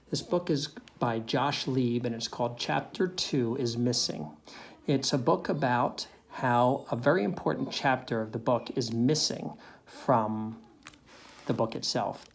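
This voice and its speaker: A man's voice: medium pitch, gravelly, a little mellow, husky. A middle-aged man, reading aloud to children as a teacher, with playful, jokey casual asides.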